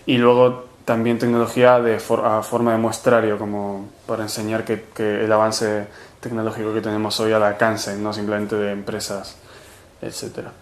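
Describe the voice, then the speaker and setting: Argentine man, flat and hesitant. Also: fairly low pitch, normal speed, reverberant. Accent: Argentine Spanish. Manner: hesitant, many fillers and restarts. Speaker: movement organizer. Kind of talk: interview answers.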